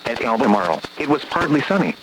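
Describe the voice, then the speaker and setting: A man reading a scripted weather bulletin as a radio announcer in a formal, measured, impersonal automated manner. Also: mid-range voice, very animated. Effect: radio broadcast. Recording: very noisy weather radio reception.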